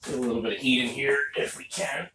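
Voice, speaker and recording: A man with a hoarse, failing voice talks casually and haltingly to camera while working, muttering in a low-key way and clearing his throat. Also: steady tone, sharp tone. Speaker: vlogger working on a car repair. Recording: roomy echo, distant mic, faint noise.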